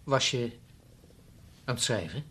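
A concerned friend and fellow rhetorician, with a mid-pitched, slightly husky voice. He speaks slowly and plainly, prodding with short questions, in a radio play. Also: lively intonation, bright, forceful tone, heavily acted. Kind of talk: 1970s radio drama acting.

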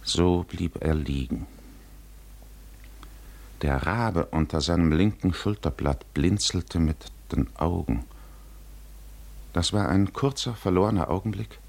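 Male narrator, very low pitch, slow pace, grave and deliberate, 1950s literary radio play. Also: gravelly, a little mellow.

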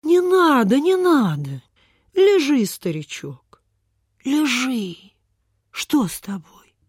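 Radio theatre lady of the house: gentle, compassionate, very lively intonation, husky edge, unhurried. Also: sits high, dry recording, clean studio sound, exaggerated voice. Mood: concerned, pitying. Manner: gentle, pitying. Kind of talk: mid-20th-century radio theatre acting.

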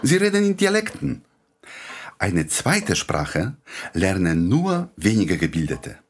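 Husky, animated idealistic doctor. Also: male voice, fairly low pitch, slow pace, heavily acted. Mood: explaining firmly. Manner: courteous, earnest, gently ironic. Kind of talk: radio play acting.

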